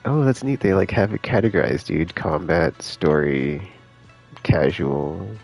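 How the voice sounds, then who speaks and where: A man's voice: sits low, measured pace, vocal fry, a little mellow, breathy edge, faint music behind. A man casually rambling through live game stream commentary, thinking aloud in a laid-back, slangy way. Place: indoors, live stream with game music behind.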